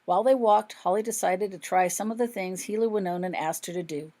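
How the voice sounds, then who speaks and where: A woman's voice: mid-range voice, a little fast, dark tone. A woman reading her own fiction aloud as scripted audiobook narration.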